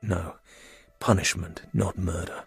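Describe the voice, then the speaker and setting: A man's voice: low voice, steady tone, slow pace, vocal fry, slightly rough, heavily acted. A man acting in a radio drama as a calculating secret agent, musing drily to himself in inner monologue. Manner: dry, musing.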